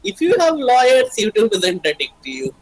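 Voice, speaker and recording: A man's voice: high voice, wildly swooping pitch, brisk, dry recording, rough recording. A man chatting casually with friends on a livestream, in short informal remarks. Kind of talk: casual group chat on a livestream.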